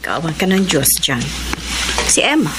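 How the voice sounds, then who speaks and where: A woman's voice: sits low, sing-song, measured pace, very noisy. An actress playing a widowed mother who lectures her daughter, in a classic radio drama. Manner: admonishing, motherly. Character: widowed mother-in-law, stern but caring.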